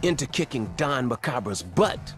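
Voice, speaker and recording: A man's voice: mid-range voice, very animated, measured pace, faint music behind. A man playing a cool, tough action bodyguard with a terse, assured manner in a video game. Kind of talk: video game cutscene voice acting.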